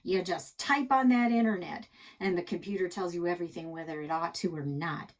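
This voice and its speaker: Woman voicing a gruff, grumpy old storybook grandpa. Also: fairly low pitch, a little fast, lightly acted. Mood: grumpy, defensive. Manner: folksy, plainspoken, grumbling. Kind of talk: children's storybook read-aloud.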